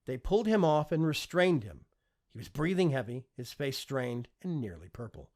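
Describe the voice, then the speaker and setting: Male audiobook narrator: dramatic and emphatic, mid-pitched husky voice, very expressive intonation. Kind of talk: dramatic scripted fiction narration.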